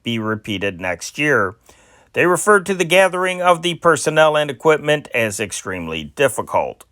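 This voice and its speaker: Guy reading old newspaper stories out loud, kinda high voice, dry close mic, trips over words sometimes. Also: no room sound. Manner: steady reading with occasional restarts. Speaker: amateur news reader and channel host.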